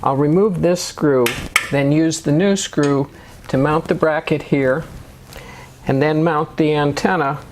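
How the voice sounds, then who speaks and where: A man narrating step-by-step DIY instructions in a measured, matter-of-fact way. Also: mid-range voice, a little mellow, a little hiss or hum. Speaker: motorcycle DIY video host.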